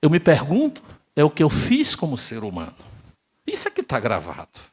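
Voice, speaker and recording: An older man from Brazil giving an emphatic spiritist lecture, asking rhetorical questions and swinging between loud and hushed delivery. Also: fairly high pitch, wildly swooping pitch, moderate pace, breathy edge. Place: spiritist center hall, live audience.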